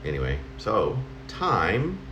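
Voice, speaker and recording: A mature man with a fairly low voice reads his own poem in a very echoey room. His pitch moves around a lot as he goes, halting and conversational, like a personal letter read aloud. A faint noise sits behind him. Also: huge pitch swings. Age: middle-aged or older. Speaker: poet reading own work. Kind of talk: spoken poem reading in the form of a personal letter. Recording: roomy home recording, faint noise.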